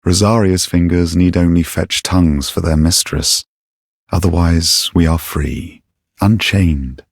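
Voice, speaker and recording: A sly, menacing knight turned assassin from a dark fantasy game. He speaks very low and unhurriedly, with creaky gravel under a silky, haughty formality. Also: fairly even intonation, dry recording, clean studio sound. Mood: smug, conspiratorial. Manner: silky, deliberate, theatrically formal. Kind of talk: video game character dialogue.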